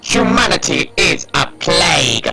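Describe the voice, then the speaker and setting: Gravelly robot alien war machine, slow barked orders, menacing, loud music behind. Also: male voice, fairly high pitch, lively intonation, poor audio. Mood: cold, menacing. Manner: barking, staccato commands. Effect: robotic voice modulation. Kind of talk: sci-fi audio drama acting.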